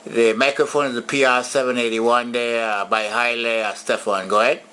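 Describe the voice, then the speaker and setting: A man's voice: medium pitch, flat delivery, moderate pace. A man making easygoing, casual small talk as an amateur operator over two-way radio, using operator jargon. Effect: two-way radio transmission. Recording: radio transmission with background static.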